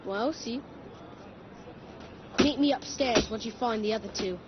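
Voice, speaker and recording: A woman's voice: fairly high pitch, a little slow, natural voice. A woman voicing a cheeky, stubborn little street boy in a video game. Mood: offhand. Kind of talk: video game cutscene acting. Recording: muffled game audio, clear background noise.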